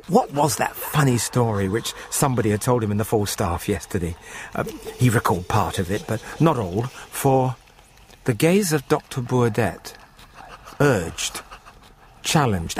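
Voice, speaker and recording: A man narrating a radio thriller in a deliberate, suspenseful, dramatic scripted style. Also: on the low side, slight background noise.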